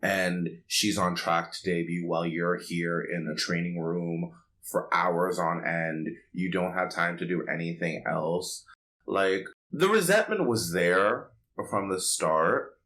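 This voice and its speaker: A man's voice: low-pitched, slow pace, fairly bright tone. A man co-hosting a casual pop culture podcast, chatty and opinionated, peppering his talk with "like". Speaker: podcast co-host.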